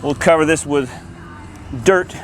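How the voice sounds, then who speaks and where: A man's voice: measured pace, soft background music. A man hosting a casual hands-on walkthrough outdoors, explaining things informally as he goes. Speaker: host of an installer's video channel. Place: outdoors in a yard. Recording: outdoor noise, ambient rumble.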